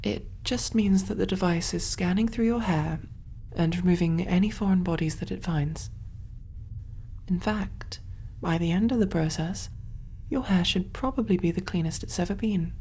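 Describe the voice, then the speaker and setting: A woman voicing a kind, calm alien medic in a soft, breathy, reassuring ASMR roleplay style. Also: fairly low pitch, moderate pace, slight background noise, natural voice. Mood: soothing, attentive. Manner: gentle, hushed, reassuring, often near whisper.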